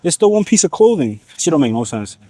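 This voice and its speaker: A man's voice: fairly high pitch, big pitch swings, fast talker, warm tone, no room sound. A man from Nigeria whose first language is Igbo, rambling casually in a gym vlog with slang and swearing. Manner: slangy, profane, rambling asides. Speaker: fitness vlogger.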